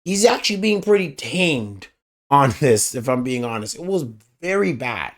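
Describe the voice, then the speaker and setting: A young man with a raspy voice hosting a reaction video, talking in a laid-back, slangy, rambling way. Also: medium pitch, big pitch swings, moderate pace. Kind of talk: casual reaction commentary.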